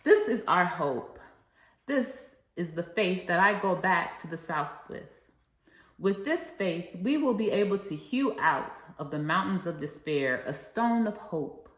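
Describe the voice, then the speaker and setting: Echoey low-voiced woman reading for children. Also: lively intonation, measured pace, a bit bright. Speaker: children's read-along reader and educator. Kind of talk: read-aloud of a famous speech with simple explanations for children. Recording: echoey, reverberant room sound.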